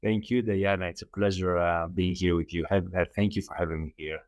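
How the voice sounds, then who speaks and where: A middle-aged man from Greece with a Greek accent, a coach answering interview questions earnestly, often repeating himself and pausing on fillers. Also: low-pitched, steady tone, darkish tone, no echo. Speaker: guest, holistic coach.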